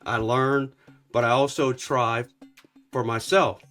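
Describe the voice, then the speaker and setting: A man who works as a youth coach, co-hosting a talk show and speaking in a steady, affirming way. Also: medium pitch, slow, soft background music. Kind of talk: conversational talk.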